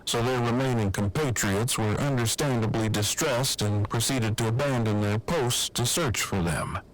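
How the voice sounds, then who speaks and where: A man's voice: medium pitch, moderate pace, faint music behind, rough recording. A man playing a formal, long-winded, earnest survivor in an audio drama, with stiff, precise diction over a walkie-talkie. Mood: grave, matter-of-fact. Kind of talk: fan audio drama acting.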